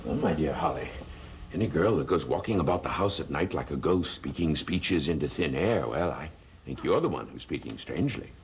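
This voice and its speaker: An actor playing a sly, condescending gentleman schemer in a gothic soap opera, mockingly polite, with music behind him. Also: a bass voice, expressive, a little fast, a little hiss or hum. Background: dramatic music behind the voice.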